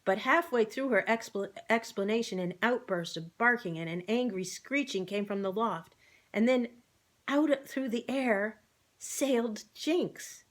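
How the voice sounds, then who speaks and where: A woman's voice: on the high side, a little slow. Woman reading a children's story aloud. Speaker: storybook reader. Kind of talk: expressive read-aloud narration.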